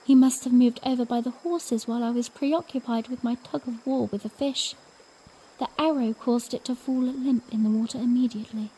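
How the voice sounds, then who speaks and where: Young princess , female actor, high and expressive, tender yet spirited, storybook audiodrama narration and dialogue. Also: normal speed, husky, low-quality recording. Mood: earnest, wistful. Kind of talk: first-person audiodrama narration with acted dialogue.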